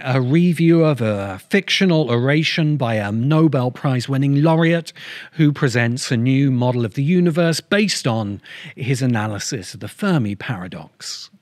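Middle-aged male essayist-host. Measured, emphatic scripted delivery with dramatic stresses, mid-range voice, very dry sound. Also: mid-range voice, a little mellow, dry recording. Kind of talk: scripted narration, literary essay. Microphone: close studio-style microphone on stand.

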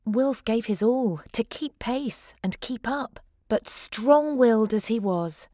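A woman narrates a rhyming picture book for children in an unhurried, expressive way. She leans on key words for drama, and the sound is dry and close. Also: a little high, no echo. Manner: measured, dramatic emphasis. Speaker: storybook reader.

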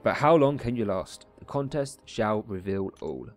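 A man's voice: fairly low pitch, sing-song, moderate pace, no room sound. A man voicing a sinister, imperious old schemer from a comic-book video game, in a theatrical manner. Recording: music behind the voice.

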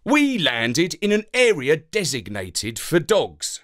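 A man with a British accent narrating a children's story in a theatrical, deliberate, expressive way. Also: fairly high pitch.

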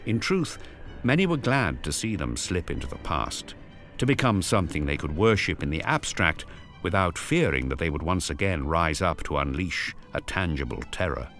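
A man giving scripted dramatic narration, grave and storytelling, with deliberate pauses. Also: low-pitched, a bit bright.

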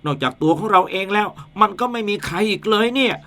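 A man's voice: medium pitch, lively intonation, normal speed, fairly bright tone. A man playing a jumpy young village man who grumbles and stammers in a radio ghost drama. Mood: scared.

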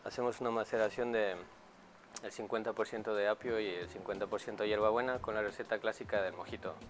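A man's voice: on the low side, steady tone, fast. A man in his thirties explaining a drink as a bartender giving a demonstration. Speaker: bartender presenting a cocktail. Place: restaurant bar.

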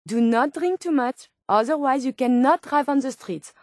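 Game companion: actress as polite, caring French-accented female robot scientist. High-pitched, precise, formal, dry studio sound. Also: moderate pace, darkish tone, no room sound, lightly acted. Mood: helpful, eager. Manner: formal, precise, clinical phrasing. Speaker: video game voice actor. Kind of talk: video game companion dialogue lines.

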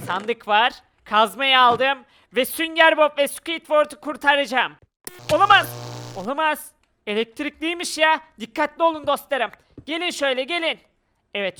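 A woman doing excited gameplay commentary, exclaiming and talking viewers through each action as she goes. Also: high voice, slight background noise. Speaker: gaming video creator.

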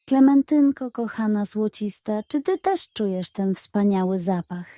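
A woman's voice: medium pitch, lively intonation, a little slow, mellow, no echo, close to an everyday voice. A woman voicing a sly, greedy little male pug in a children's bedtime story, cooing and coaxing with dramatic flair. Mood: wheedling. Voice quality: cooing, wheedling. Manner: dramatic, coaxing delivery with diminutives.

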